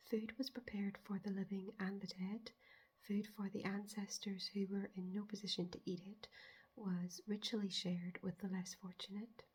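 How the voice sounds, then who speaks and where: A woman whispering in a hushed, measured, breathy voice as an ASMR host explaining folklore. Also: medium pitch.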